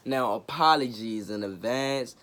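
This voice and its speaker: A man hosting his own sports talk show, raspy from a cold, chatting casually with slang and jokes. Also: medium pitch, measured pace, fairly bright tone. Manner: laid-back, joking, chatty with slang. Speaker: sports podcast host.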